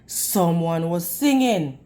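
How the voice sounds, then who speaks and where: A woman reads a picture book aloud for young children at an unhurried pace. Her pitch moves up and down in a lively way, and she speaks like a teacher, prompting her listeners as she goes. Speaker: children's read-aloud storyteller. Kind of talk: storybook read-aloud with questions to young children.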